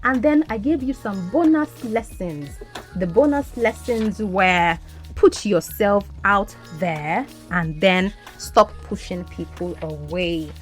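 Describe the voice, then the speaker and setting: A woman whose first language is Yoruba, hosting a podcast as an English language coach and speaking in an animated, encouraging way. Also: medium pitch, slow pace, faint music behind. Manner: animated, encouraging teacher's delivery.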